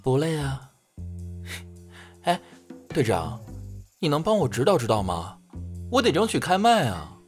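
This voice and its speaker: A young man playing a sweet, naive idol group member who speaks in a gentle, earnest way. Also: on the high side, wildly swooping pitch, unhurried, pauses often, soft background music. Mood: eager, polite.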